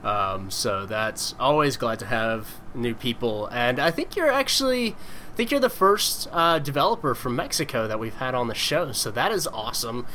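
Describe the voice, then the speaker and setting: A man's voice: medium pitch, fairly bright tone, slight background noise. A man hosting a podcast, reading the intro and then interviewing a guest in a friendly, joking way. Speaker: podcast host.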